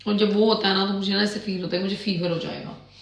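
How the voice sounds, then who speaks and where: Woman vlogger, chatty, mixes in English, cooking at home, mid-pitched. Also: level tone, fast. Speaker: lifestyle vlogger. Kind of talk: casual chat to camera. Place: home kitchen while cooking.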